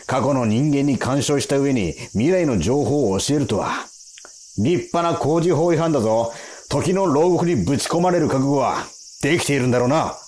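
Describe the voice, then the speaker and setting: Man acting a cocky, animated time-patrol officer. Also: medium pitch, normal speed, close to an everyday voice. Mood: stern, threatening. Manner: condescending, rough interrogator talk. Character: gruff, cocky male time-patrol officer, easily irritated. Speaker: radio drama actor. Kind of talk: radio drama acting.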